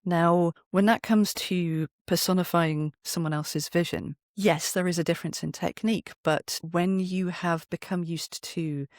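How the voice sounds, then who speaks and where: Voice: medium pitch, steady tone, unhurried, hardly any pauses, no room sound, studio quality. A podcast guest chatting in an interview, explaining things from experience with frequent asides and self-interruptions. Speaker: podcast guest, storyteller and voice performer.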